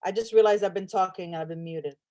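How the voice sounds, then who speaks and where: A woman hosting a casual online chat on a video call, rambling and switching languages quickly. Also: on the low side, a little mellow. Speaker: host.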